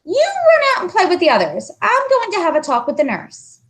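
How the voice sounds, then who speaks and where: A woman voicing a strict but concerned female schoolteacher in a storybook, admonishing in a deliberate, emphatic way. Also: very high voice, wildly swooping pitch, fairly bright tone, voice pushed up, heavily acted. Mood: concerned, instructing.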